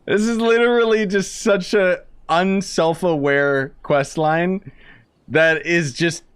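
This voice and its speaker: Higher-pitched young man, casual gaming commentary. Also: steady tone, slow, fairly bright tone, clear, resonant tone. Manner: joking, conversational, opinionated. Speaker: gaming streamer.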